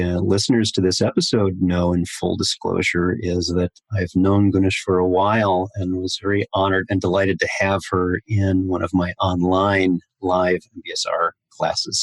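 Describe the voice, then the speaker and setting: A man with a very low voice hosts a podcast interview, speaking in a measured and appreciative way. He is recorded close to the mic with a dry sound. He introduces his guest and sets up the discussion. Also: little pitch movement, dry recording, low-quality recording. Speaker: podcast host.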